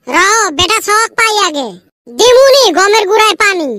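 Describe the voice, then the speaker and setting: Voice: very high-pitched, sing-song, moderate pace, bright, forceful tone, exaggerated voice. A performer voicing a boastful, complaining young village lad in a comic cartoon, with a rural Bengali dialect. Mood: boastful, defiant. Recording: very noisy amateur audio with clicks.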